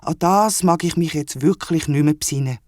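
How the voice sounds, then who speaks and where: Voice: medium pitch, moderate pace, no room sound, studio quality, natural voice. A storyteller voicing an eager, naive, forgetful young male platypus with a Swiss German accent in a children's audio story. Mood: naive wonder. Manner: excitable, naive, questioning.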